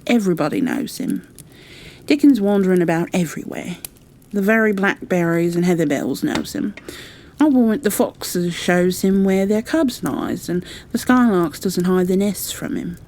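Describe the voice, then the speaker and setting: A woman playing gruff old Yorkshire gardener, blunt dialect, storybook character, creaky. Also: lowish voice, expressive, normal speed, darkish tone. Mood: gruffly amused.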